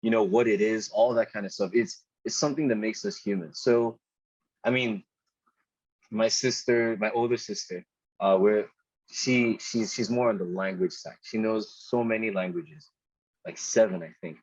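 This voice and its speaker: A young man from Nigeria, a podcast guest talking in a relaxed, chatty way, often saying "dude" and restarting his sentences. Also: lowish voice, moderate pace, dry recording. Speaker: podcast guest, product designer. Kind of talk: casual conversational interview. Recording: dull, slightly muffled podcast audio.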